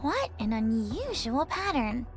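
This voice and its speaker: A woman's voice: fairly high pitch, very animated, a little slow, music in the background. A woman voicing an inquisitive, scholarly young female analyst in a video game, musing and precise. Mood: curious, musing.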